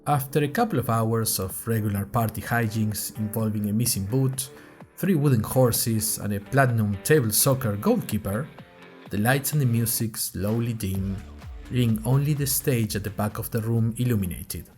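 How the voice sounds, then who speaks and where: A man's voice: on the low side, normal speed, a little mellow, faint music behind. A man narrating a scripted first-person fiction podcast in a conversational way, with wry asides to his listeners.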